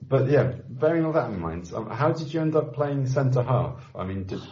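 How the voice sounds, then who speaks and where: A man's voice: mid-range voice, expressive, normal speed, highs cut off, very noisy. A man asking questions in a casual interview, with informal, tentative phrasing.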